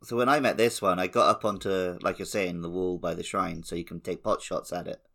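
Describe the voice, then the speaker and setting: A man's voice: low voice, fairly even intonation, a little fast, gravelly, bright, forceful tone. A man co-hosting a podcast, talking casually about a video game. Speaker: podcast host.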